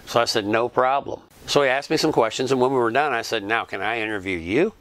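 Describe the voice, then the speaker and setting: A man tells his travel story for his own channel in an easygoing, conversational way. His voice sits at an ordinary middle pitch. He restarts a phrase here and there and keeps on talking. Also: quick pace. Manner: relaxed, chatty, with small restarts. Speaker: YouTube vlogger and fan interviewer. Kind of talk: casual recounting narration.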